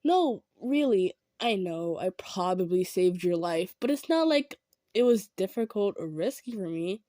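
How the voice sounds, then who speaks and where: Kind female centaur, played by a woman: mid-pitched, modest, softly insistent, roleplay delivery straight to the listener, no room sound. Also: lively intonation, a little slow, no echo, clean studio sound, close to an everyday voice. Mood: earnest, reluctant. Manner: earnest, hesitant, gentle insisting. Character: kind, humble, self-effacing female centaur. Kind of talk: improvised audio roleplay addressed to the listener.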